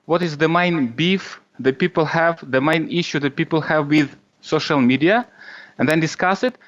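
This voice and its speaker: Dull Skype line, halting Ukrainian-accented man. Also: a little high, a bit bright. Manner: halting, searching for words. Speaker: call-in guest. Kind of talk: hesitant conversational questioning. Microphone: internet video or voice call. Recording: dull Skype call audio.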